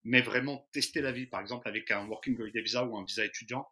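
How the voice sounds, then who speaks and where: An easygoing man in his thirties from the Lyon area of France, talking casually as an interview guest. His voice is piercingly bright and tense, at a middling pitch. He pauses often and fills the gaps while he thinks. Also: fairly even intonation. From Lyon region, France. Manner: easygoing, hesitant with frequent fillers. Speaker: interview guest, expatriate in Japan. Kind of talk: casual conversation.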